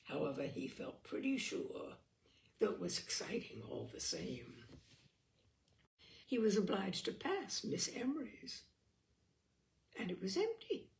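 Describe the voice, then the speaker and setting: Lady reading an old comic story out loud, very up and down, bit croaky, dramatic emphasis. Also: mid-range voice, wildly swooping pitch, measured pace, pauses often, a little hiss or hum. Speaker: storyteller, audiobook reader. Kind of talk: expressive literary narration of a comic short story.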